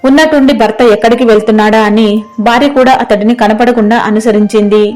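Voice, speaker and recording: A woman's voice: a little high, fairly even intonation, fast talker, darkish tone. A woman reading a scripted story as its narrator. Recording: music behind the voice.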